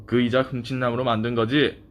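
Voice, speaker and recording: Storybook pig, voiced by a man: gruff, suspicious, loud, mid-pitched, quick to accuse. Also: level tone, slight background noise, natural voice. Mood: accusing. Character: grumpy, suspicious, prejudiced male pig.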